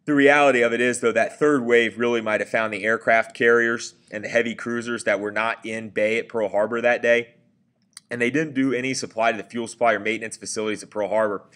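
A man's voice: medium pitch, steady tone, a little fast, a bit bright. A man giving a recorded history lecture over slides, talking conversationally with frequent fillers and self-corrections. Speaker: teacher.

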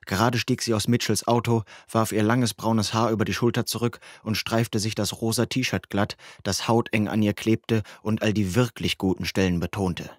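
A man's voice: on the low side, steady tone, normal speed, hardly any pauses, dry recording, clean studio sound. A man reading a teen novel as a scripted first-person audiobook narration.

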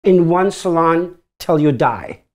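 A man's voice: fairly high pitch, expressive, takes it slowly. A middle-aged man from Germany, with a German accent, speaking earnest, broken English as a hairstylist guest in a small studio. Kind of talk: casual chat. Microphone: standing, off the table mic.

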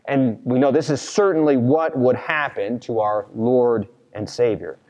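An American man preaching a sermon as a pastor, speaking earnestly and in a measured way with deliberate pauses. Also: mid-range voice, a little mellow, highs cut off. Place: large church sanctuary, at a pulpit. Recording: long reverb of a church hall.

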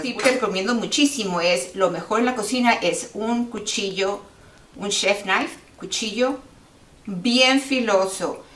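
A middle-aged woman giving casual how-to tips in a home kitchen, chatty and lively as she demonstrates with her hands. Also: medium pitch. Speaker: cooking teacher giving tips. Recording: roomy, a bit far from the mic, faint hiss.

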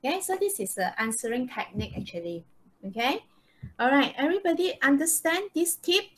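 Female online English teacher, Malaysian accent, higher-pitched, instructional, peppers her speech with short checking questions. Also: animated, fairly quick, poor audio. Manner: frequent checking questions, tag words like okay and right. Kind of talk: live online lesson, instructing students. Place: indoors, online video call.

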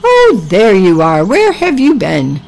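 A woman's voice: mid-range voice, huge pitch swings, slow pace, creaky, a little mellow, voice pushed up, heavily acted. A woman voicing a fretful, motherly cow in a children's story, with a fussy, exclaiming manner. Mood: fretful, put out.

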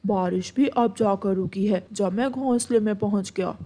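A woman voicing an earnest, worried father bird in a children's cartoon, with a husky, plaintive delivery. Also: mid-range voice, moderate pace, mellow, lightly acted. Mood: resigned, anxious.

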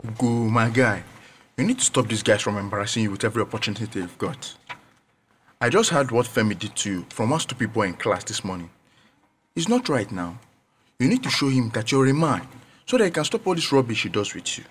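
A man's voice: mid-range voice, big pitch swings, a little fast, breathy edge. A man playing a pushy young friend who goads his mate, in a radio drama. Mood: urging.

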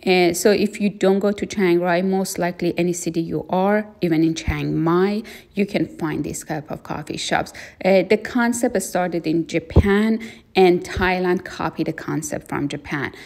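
Woman w/ a low gravelly voice chatting about a trip, lots of ums, easygoing. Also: a little mellow. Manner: unhurried, frequent fillers, plain opinions. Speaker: travel vlogger. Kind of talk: casual spoken travel review.

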